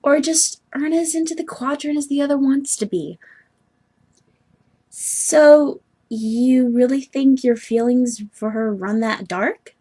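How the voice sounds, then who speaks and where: A woman voicing an earnest, bubbly young female troll heiress from the sea, with expressive scolding, in an amateur audition style. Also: high voice, takes it slowly, husky, exaggerated voice. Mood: gentle, consoling. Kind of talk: amateur animated-webcomic voice audition acting.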